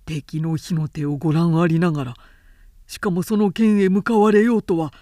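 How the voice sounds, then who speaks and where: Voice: mid-range voice, fairly even intonation, normal speed, mellow, no room sound, clean studio sound, lightly acted. Woman reading as an urgent, deferential army officer. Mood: alarmed, protesting. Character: anxious, deferential male army officers advising lord.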